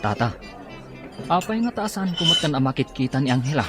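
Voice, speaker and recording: A man's voice: fairly high pitch, big pitch swings, brisk, loud music behind the voice. A man acting a lovesick, insecure younger Filipino man with an Ilocano accent in a comedy radio drama. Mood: anxious, pleading.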